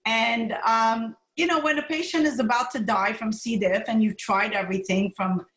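A woman's voice: a little high, fairly even intonation, moderate pace, fairly bright tone. Woman, middle-aged, gastroenterologist, from Montreal, candid, laughing, roomy echo. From Montreal, Canada. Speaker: gastroenterologist guest. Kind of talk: conversational podcast interview.

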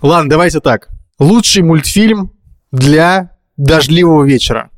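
A man in his thirties with a fairly high voice, chatting informally as a podcast co-host. He is recorded dry and close, with no room around the voice. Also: unhurried, no echo. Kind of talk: casual chat.

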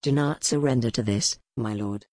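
Blunt male retainer, grim and low, dry close sound, voiced by a woman narrator. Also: normal speed, darkish tone, dry recording.

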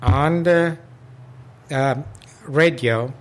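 A man's voice: mid-range voice, very slow. An older man from Iran, a local club president, recalling his past in an oral history interview with many fillers and restarts.

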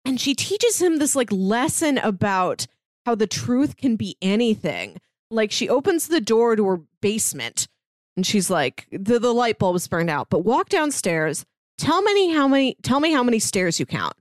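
A woman co-hosting a casual book discussion, telling things in a halting, conversational way with acted-out quotes. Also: fairly high pitch, sing-song, no echo. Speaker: podcast co-host.

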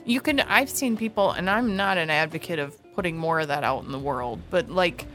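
Low-voiced woman with a Minnesota accent. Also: lively intonation, brisk, fairly bright tone, faint music behind. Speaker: master gardener guest. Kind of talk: casual radio chat.

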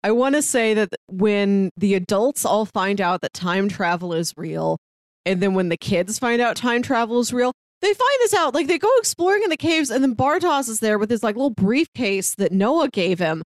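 A woman's voice: medium pitch, expressive, moderate pace, no room sound, studio quality. A woman co-hosting a podcast, talking casually through a recap of a TV series. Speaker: podcast co-host.

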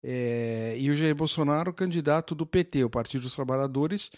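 A man's voice: mid-range voice, normal speed, darkish tone, no echo, studio quality. An older man, a guest patiently explaining something, with many fillers and restarts. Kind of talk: casual explanatory conversation.

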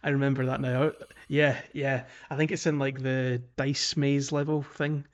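A man hosting a casual video game discussion, speaking in a hesitant, halting way. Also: medium pitch, little pitch movement. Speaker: podcast host.

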